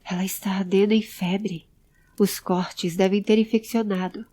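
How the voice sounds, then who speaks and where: Woman voicing a serious, mellow male adventurer. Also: mid-range voice, unhurried, natural voice. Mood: worried, pensive. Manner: grave, calm, instructing. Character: serious, pensive male adventurer, protective and controlled. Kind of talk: audio drama character acting.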